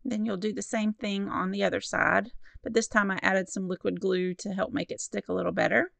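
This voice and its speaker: A woman's voice: mid-range voice, level tone, brisk, vocal fry, fairly bright tone, dry recording. A woman narrating a step-by-step card-making tutorial in a friendly, encouraging, instructive way. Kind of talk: step-by-step craft tutorial voice-over.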